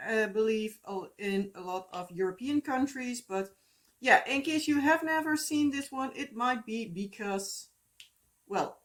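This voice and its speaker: A woman's voice: on the high side, fairly bright tone. Chatty Dutch-accented woman rambling. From Netherlands. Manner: chatty, meandering, many fillers and asides. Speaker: crafting vlogger. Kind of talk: casual rambling chat. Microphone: phone.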